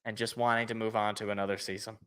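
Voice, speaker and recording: A man co-hosting a casual sports podcast, loud and excitable, stumbling over repeated words, his voice worn out. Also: lowish voice, quick pace, clean tone. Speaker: podcast co-host.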